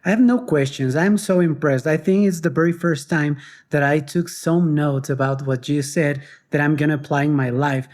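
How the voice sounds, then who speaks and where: A man's voice: on the high side, moderate pace, few pauses, a little mellow. A man co-hosting a podcast, chatting casually and reflectively. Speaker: podcast co-host.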